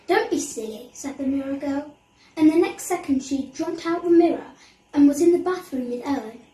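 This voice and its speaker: A woman's voice: high-pitched, a little fast, mellow. A female storyteller reading a children's story aloud. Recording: echoey room, distant mic.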